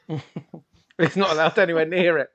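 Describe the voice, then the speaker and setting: A man's voice: a little high, fairly even intonation, a little slow, fairly bright tone. A man co-hosting a tabletop game stream, chatting casually, laughing often and thanking guests as he signs off. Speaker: co-host and player. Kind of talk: casual end-of-stream chat.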